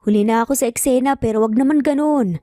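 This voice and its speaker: A woman with a Filipino accent voicing a gentle, apologetic and restrained man in a narrated story. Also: sits high, fast talker, dry recording, studio quality, faint music behind, natural voice. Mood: kindly. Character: calm, apologetic adult male stranger with a secret.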